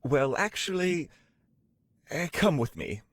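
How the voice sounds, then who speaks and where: A man playing a courteous medieval archbishop saint in a children's audio drama, formal, grave and gentle. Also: a little high, sing-song, slight background noise. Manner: formal, measured, dryly polite. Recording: music under the voice.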